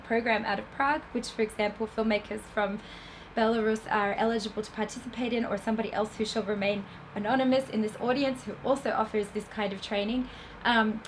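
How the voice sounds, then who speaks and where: A woman with an Australian accent giving an explanatory festival talk to an audience, pausing often with ums. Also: a little high, a little hiss or hum, low-quality recording. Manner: explanatory, with frequent ums and restarts. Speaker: film festival industry program head. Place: festival venue before an audience.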